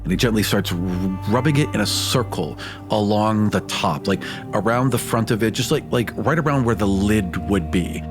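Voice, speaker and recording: A man's voice: on the low side, normal speed, over music. A man who is a tabletop game player, improvising narration of his character's actions.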